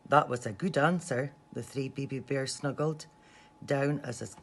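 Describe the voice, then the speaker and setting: A woman reading a bedtime story to young children in a gentle, sing-song, expressive way. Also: very deep voice, measured pace, a little hiss or hum. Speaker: storybook reader from a school staff team.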